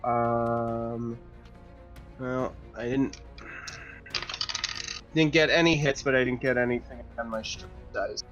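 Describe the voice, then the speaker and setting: A man's voice: mid-range voice, steady tone, slow pace, long pauses. A man chatting casually as a player in a tabletop roleplaying game. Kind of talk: casual chat. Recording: dull lo-fi sound, music behind.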